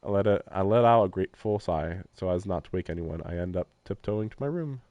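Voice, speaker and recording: A man's voice: deep voice, brisk, phone-quality sound. A man live-streaming a visual novel, reading the text aloud and adding casual, wry, offhand comments. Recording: faint game music behind the voice. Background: game soundtrack.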